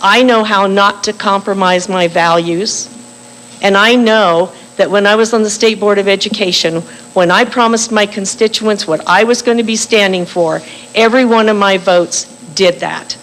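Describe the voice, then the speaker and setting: Older woman, candidate, firm emphatic delivery, mid-pitched voice, faint background hum. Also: steady tone, a bit bright, poor audio. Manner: firm, deliberate, slows down for emphasis. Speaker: political candidate. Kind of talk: campaign speech at a public forum. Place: bakery cafe forum room.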